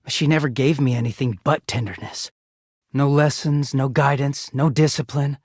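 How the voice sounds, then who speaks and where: A man voicing a wounded, conflicted living garment in a video game, husky and emotional, confiding with some swearing. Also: medium pitch, fairly even intonation, normal speed, a little mellow, no echo, clean studio sound. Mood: wistful, hurt.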